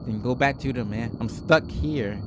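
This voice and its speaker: A man's voice: medium pitch, moderate pace, noisy background. A man giving casual reaction commentary, reading text aloud and breaking in with slangy, informal exclamations. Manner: slangy, informal, exclaims between read lines. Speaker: host of a horror reaction video.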